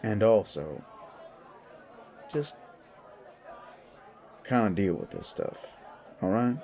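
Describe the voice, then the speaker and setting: A man voicing a worldly, protective supernatural mentor in an audio drama, measured and admonishing as he speaks. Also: on the low side, very animated, creaky, mellow, some chatter behind, exaggerated voice. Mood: earnest, advising. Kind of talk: audio roleplay dialogue.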